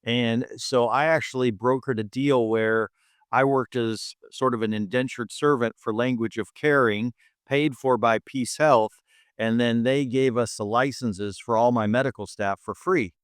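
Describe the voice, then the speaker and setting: A man's voice: mid-range voice, steady tone, a little slow, clean tone, dry recording, studio quality. A middle-aged man from Montana with an American accent, telling his own story earnestly as an interview guest. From Montana, United States. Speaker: interview guest, hospital chief medical officer. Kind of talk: reflective personal storytelling in an interview.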